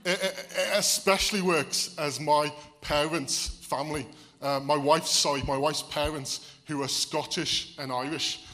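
A man delivers a sermon in a Midlands English accent touched by a Welsh lilt. His voice sits fairly high and sounds bright and clear. He talks to the congregation in a conversational way, chuckling and often saying "you see". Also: fairly even intonation, a little slow. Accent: English Midlands with a slight Welsh lilt. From England, raised in Wales. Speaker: preacher.

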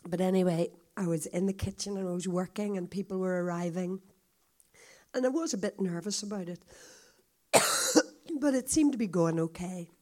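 A woman with a croaky, hoarse voice, a visiting speaker telling a story to a congregation in a chatty, confiding way. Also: fairly low pitch, moderate pace, long pauses, darkish tone. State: hoarse, coughing.

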